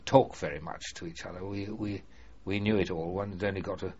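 An older man being interviewed about his past, speaking formally and hesitantly with many pauses and fillers. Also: low-pitched, steady tone, darkish tone, husky. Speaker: former prisoner of war, interviewee. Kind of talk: oral history interview. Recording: muffled archive recording with faint hiss.

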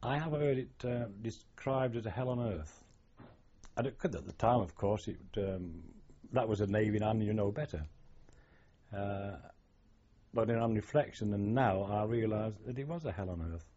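A man's voice: on the low side, moderate pace, warm tone, husky. An older man, a former sailor, thoughtfully recalling his childhood and navy days in an oral history interview. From England. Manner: reflective, storytelling, wry. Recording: dull lo-fi archive tape.

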